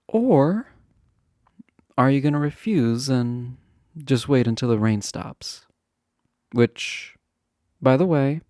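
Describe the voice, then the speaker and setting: Casting: male actor as popular college guy, chatty and teasing, easygoing flirt, unhurried delivery with lively pitch swings, romantic audio roleplay. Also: no room sound, clean studio sound. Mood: coaxing, flirty. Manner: chatty, teasing, hesitant filler-laden casual phrasing. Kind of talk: romantic roleplay audio acting, casual intimate chat.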